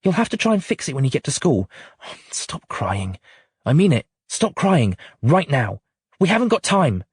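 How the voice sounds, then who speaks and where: A man's voice: a little high, sing-song, rapid-fire, darkish tone, husky, no echo, voice pushed up, full character voice. A man voicing a frazzled mum. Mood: stressed. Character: stressed, harried young mother.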